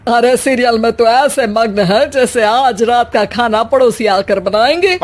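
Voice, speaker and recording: A woman playing an older, bickering, gruffly affectionate mother-in-law in an animated moral story, scolding and sarcastic. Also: on the high side, a little slow, noisy background, close to an everyday voice. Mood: sarcastic, bossy.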